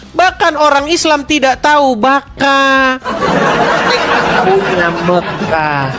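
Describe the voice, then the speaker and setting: A man's voice: sits high, a bit bright. A man with a slight head cold, hosting a heated religious debate, challenging and mocking his opponent with laughter.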